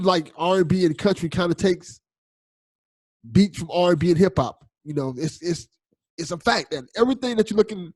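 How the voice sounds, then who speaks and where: A man's voice: high-pitched, darkish tone. A young man hosting a casual podcast chat, agreeable and thoughtful, often restarting his sentences. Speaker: podcast host.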